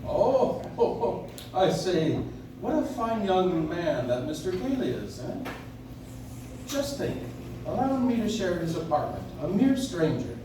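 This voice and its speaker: Kindly, whimsical old gent played by a man for a stage radio play; high-pitched, jolly, distant noisy recording. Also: big pitch swings, slow, heavily acted. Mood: grateful, genial. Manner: jolly, encouraging, old-fashioned exclamations and chuckles. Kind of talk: live stage performance in 1940s radio-play manner. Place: live stage in a coffee house. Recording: noisy, echoey audience recording from far off.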